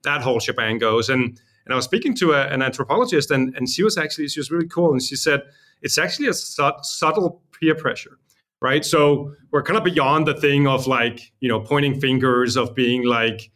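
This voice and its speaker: Male founder from Denmark, interview guest, mid-pitched voice, informal and explanatory. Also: fairly bright tone. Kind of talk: conversational interview.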